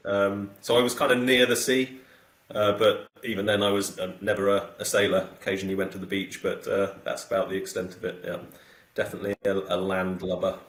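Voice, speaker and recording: Low-voiced man in an echoey room. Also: brisk. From south coast of England. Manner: relaxed, hesitant, many fillers and restarts. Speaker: guest. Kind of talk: casual podcast interview.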